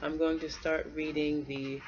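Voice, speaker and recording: A woman with a low-pitched voice speaks personally to young listeners and reads a storybook aloud. It is an amateur recording with slightly muffled sound. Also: little pitch movement, unhurried. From United States. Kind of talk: personal message and children's read-aloud.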